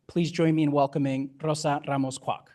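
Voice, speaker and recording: A man giving an earnest, deliberate welcome address as the host of a live event. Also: fairly high pitch, flat delivery, noisy background. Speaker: event host introducing the board chair.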